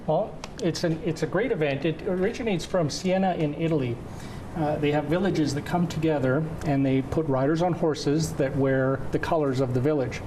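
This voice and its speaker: A man's voice: a little high, normal speed, dark tone, slight background noise, low-quality recording. A man in his forties from western Canada whose first language is Croatian, speaking as an event organizer in an informal TV interview. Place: indoor TV studio. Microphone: lapel mic.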